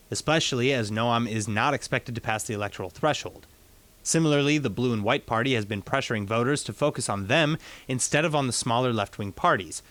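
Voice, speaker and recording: A man hosting a TV news broadcast from a studio, with a voice at an ordinary middle pitch. He reads prepared copy briskly and then turns to easy, casual questions for his guests. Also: fairly bright tone, dry recording. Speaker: news anchor. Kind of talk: news reading and studio interview.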